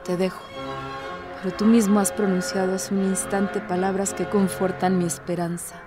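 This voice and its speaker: A woman's voice: medium pitch, flat delivery, moderate pace, over music, close to an everyday voice. An actress playing an impassioned, devout woman who pleads earnestly, with theatrical, declamatory delivery in a radio drama. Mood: earnest, tender pleading.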